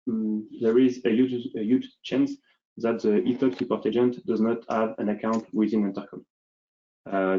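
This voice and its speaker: Mellow man presenting, dull audio. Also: mid-range voice. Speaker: software demo presenter. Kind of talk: explanatory software demo, unscripted.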